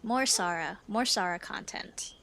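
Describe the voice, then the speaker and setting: A woman giving casual, reactive let's play commentary, joking, halting and emphatic as she goes. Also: mid-range voice, vocal fry, fairly bright tone, slight background noise. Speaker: gaming video host. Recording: faint game music behind the voice.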